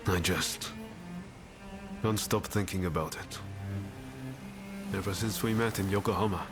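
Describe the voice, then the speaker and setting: An actor playing an earnest, reflective young idealist in a game cutscene, speaking in a confiding, unhurried way. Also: male voice, on the low side, close to an everyday voice. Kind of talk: dramatic video game cutscene acting. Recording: music behind the voice.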